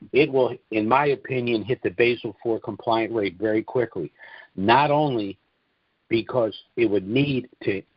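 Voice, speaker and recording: A man's voice: mid-range voice, slightly rough, no echo. A man hosting an investor conference call, giving an informal monologue briefing in a plain-spoken, opinionated, explanatory manner. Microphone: conference call line. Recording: dull, lo-fi conference call audio.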